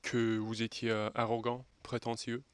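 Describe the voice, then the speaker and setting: A man's voice: mid-range voice, completely flat delivery, normal speed, clean tone, dry recording. A man in his twenties from Turkey with a Turkish accent, a volunteer speaking French hesitantly with frequent fillers. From Istanbul, Turkey. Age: 20s. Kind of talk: interview testimony.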